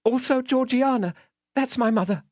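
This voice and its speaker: A man's voice: extremely high pitch, animated, a little slow, no room sound, clean studio sound, voice pushed up, exaggerated voice. A man voicing a frightened, polite small village boy in an audiobook, timid and pleading. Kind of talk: dramatised audiobook reading.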